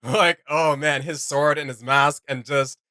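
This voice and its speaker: A man hosting a reaction video, chatting casually in an excitable, gushing, slangy way. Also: a little high, measured pace.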